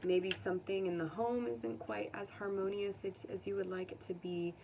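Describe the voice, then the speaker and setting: An American woman giving a casual, unscripted tarot reading to viewers, conversational and musing, pausing to think aloud. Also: medium pitch, fairly even intonation, creaky. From United States. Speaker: tarot reader. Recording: distant mic with faint background noise.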